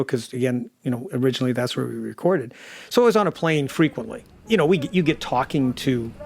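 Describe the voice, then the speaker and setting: A man's voice: mid-range voice, a little mellow. A man in a casual interview, telling anecdotes and acting out both sides of conversations. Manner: acts out dialogue as he tells stories. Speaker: audio drama director, interviewee.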